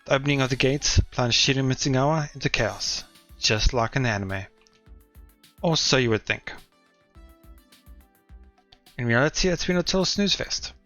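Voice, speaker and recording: A wry, self-deprecating teenage schoolboy narrates his everyday life in a dry, understated way, as a man reads him aloud. The voice sits at an average pitch, with faint music playing underneath. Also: animated, normal speed, long pauses, bright, forceful tone. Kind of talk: reading visual novel text aloud.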